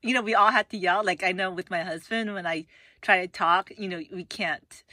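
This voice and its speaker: A woman vlogging casually at home, with a hoarse voice, rambling through an unboxing with lots of fillers and restarts. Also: mid-range voice, steady tone, moderate pace, pushed and bright, no room sound.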